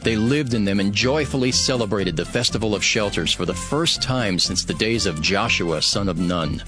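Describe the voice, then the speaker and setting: Low-voiced man narrating over music. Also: normal speed. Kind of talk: scripted audio Bible narration.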